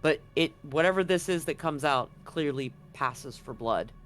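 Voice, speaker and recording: Guy game mastering a tabletop rpg, kinda high voice, casual, pauses to find words, music behind him. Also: animated, fairly bright tone.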